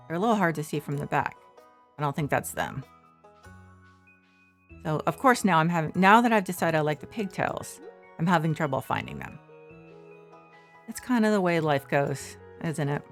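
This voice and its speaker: A woman streaming a farming game from her shared living room, rambling softly with many fillers. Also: deep voice. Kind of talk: casual gameplay commentary.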